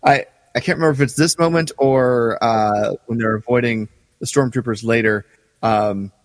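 A man co-hosting a podcast in casual fan discussion, halting and thoughtful as he talks. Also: medium pitch, dry recording, in a large hall. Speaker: podcast co-host.

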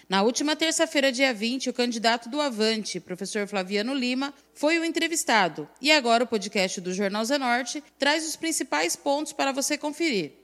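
A woman's voice: on the high side, a bit bright. A woman with a Brazilian accent reads a scripted news podcast as its presenter. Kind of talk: scripted narration.

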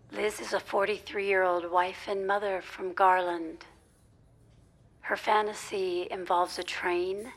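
Creaky-voiced, exasperated wife. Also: female voice, mid-range voice. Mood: calm, discreet. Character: guarded, frustrated wife with a secret business. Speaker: actor in scripted audio drama. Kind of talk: naturalistic audio drama dialogue.

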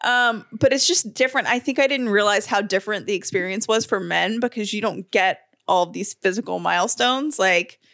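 A woman's voice: medium pitch, fairly quick, hardly any pauses, a bit bright, dry recording, clean studio sound. A pregnant woman co-hosting a podcast, chatting agreeably and reading an upbeat ad. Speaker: podcast co-host. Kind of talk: casual chat and ad read.

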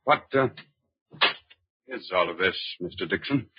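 A man with an American accent playing a hungover, shaken mayoral candidate in old-time radio drama style, with a husky voice. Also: deep voice, expressive, measured pace, dry recording, full character voice. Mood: shaken, tense. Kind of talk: 1940s radio drama acting. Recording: muffled old radio recording.

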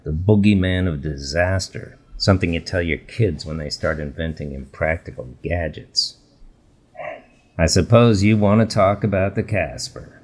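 A man playing a weary research manager in a radio documentary interview, deadpan and dry. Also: sits low, normal speed, slightly rough. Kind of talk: documentary interview in audio fiction.

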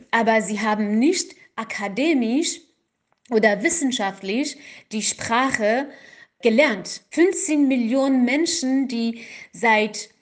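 Slow, hesitant woman. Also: on the high side. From Iran. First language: Kurdish. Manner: hesitant, searching for words, frequent fillers. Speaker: interviewee, activist. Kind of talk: interview answers.

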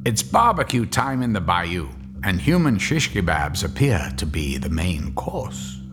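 An actor playing a wry horror narrator with a dry, darkly joking manner, in an old radio drama with music behind. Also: male voice, low-pitched, big pitch swings, a little slow. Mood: sardonic.

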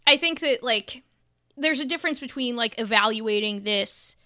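A woman's voice: a little high, normal speed, pushed and bright, dry recording, studio quality. A woman co-hosting a casual sports podcast, talking emphatically and sarcastically with lots of "like" fillers. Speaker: podcast co-host.

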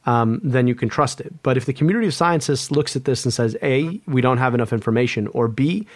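A man's voice: medium pitch, fast talker, dry recording. A man, an epidemiologist guest, speaking earnestly in an interview, often restarting and repeating words. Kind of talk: conversational interview.

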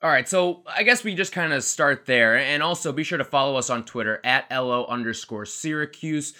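A man's voice: medium pitch, a little fast, pushed and bright. A man in his twenties co-hosting a casual sports podcast, chatty and rambling, laughing at himself. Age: 20s. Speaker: podcast co-host.

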